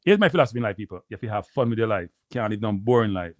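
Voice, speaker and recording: A Jamaican man hosting a live stream, rambling casually to his viewers and repeating himself, with a deep voice. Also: lively intonation, very fast, no echo. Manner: rambling, repeats phrases, addresses viewers as people. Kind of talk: casual livestream chat.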